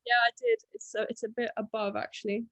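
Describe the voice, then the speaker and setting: Relaxed woman. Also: fairly high pitch, fast talker, dry recording. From Latvia and England. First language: Russian. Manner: relaxed, thinks aloud with many fillers. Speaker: podcast guest. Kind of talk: casual interview chat.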